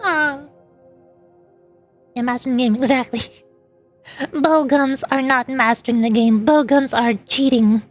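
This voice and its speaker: A woman's voice: high voice, fairly even intonation, unhurried, pauses often, soft background music. A woman streamer giving casual, chatty, opinionated gaming commentary in a rambling way. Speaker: VTuber streamer.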